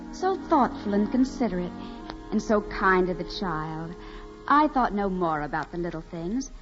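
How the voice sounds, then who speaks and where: A woman's voice: medium pitch, lightly acted. An actress playing a naive, eager young farm woman, earnest and wistful, in dramatic 1940s radio style. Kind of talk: 1940s radio acting, dramatic first-person narration. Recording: muffled 1940s radio recording.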